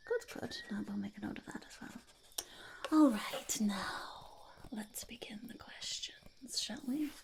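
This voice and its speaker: A woman's voice: on the high side, gravelly, darkish tone, breathy edge, heavily acted. A woman voicing a fussy, impatient goblin boss in a whispered ASMR roleplay, muttering and repeating words. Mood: fussy, polite. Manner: speaks of self in third person, repeats words, mutters approval.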